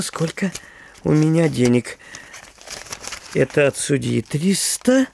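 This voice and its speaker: A man playing a boastful, frivolous young gentleman in a theatrical audio drama, hesitant and self-satisfied. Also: mid-range voice, creaky, no echo, clean studio sound, full character voice.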